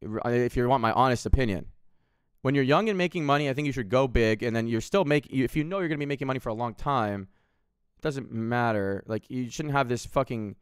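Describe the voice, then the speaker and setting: A man's voice: medium pitch, fast talker, clear, resonant tone, no room sound, clean studio sound. A man of thirty streaming from home, rambling in casual chat and swearing freely, with lots of restarts. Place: home room with window, indoors.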